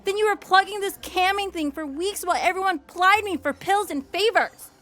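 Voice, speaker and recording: A woman's voice: extremely high pitch, normal speed, a bit bright. An actress playing a frazzled, broke young woman with an exasperated, sarcastic manner in a comedy audio drama. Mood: sardonic. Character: frazzled young woman, broke, blunt, fired from her job.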